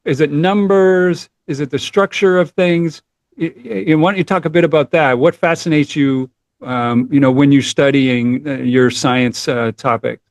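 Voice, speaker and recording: A middle-aged man hosting a podcast interview, friendly and a little halting, with fillers and restarts. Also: a little high, fairly even intonation, no room sound. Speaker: podcast host.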